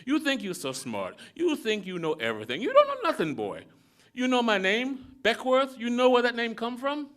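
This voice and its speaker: A man voicing a gruff older male mechanic who lectures a young man in a rough, drawling way. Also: very high-pitched, wildly swooping pitch, normal speed, a bit bright, pitched above the performer's own voice, exaggerated voice. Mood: scolding.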